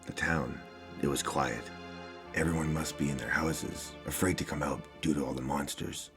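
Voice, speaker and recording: A man's voice: a bass voice, steady tone, normal speed, over music. An actor playing a young male mercenary telling his past in a cocky, awkward way in a game parody. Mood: reflective. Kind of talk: voiced role-play parody of a video game.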